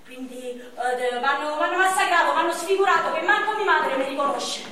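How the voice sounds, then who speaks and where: Battered, bitter young man, played by an actress; shouts crude curses; distant, roomy stage sound. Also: high-pitched, fairly quick. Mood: anguished. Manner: shouting, crude, furious outbursts. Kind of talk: stage monologue. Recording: echoey stage from far off, noisy.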